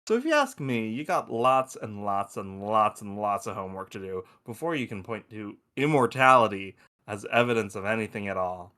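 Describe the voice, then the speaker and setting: A man's voice: lowish voice, animated, normal speed, few pauses, sharp tone. A man playing an argumentative, folksy space probe in a science fiction table read. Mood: argumentative, musing. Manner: folksy, irreverent, emphatic.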